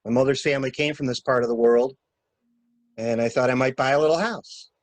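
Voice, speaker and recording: A man's voice: mid-range voice, steady tone, brisk, dry recording, close to an everyday voice. A man playing a talkative, flustered stranded engineer with a polite, stammering, theatrical manner in a murder mystery reading. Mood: explaining. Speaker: actor in an amateur library reading.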